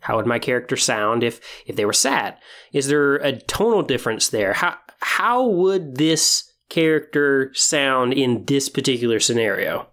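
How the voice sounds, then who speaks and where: A male podcast host with a medium-pitched voice talks straight to his listeners. His delivery is encouraging and semi-scripted, like someone walking an audience through advice. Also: expressive, a little slow, fairly bright tone. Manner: encouraging, addresses listeners directly. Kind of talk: semi-scripted podcast talk on tabletop roleplaying.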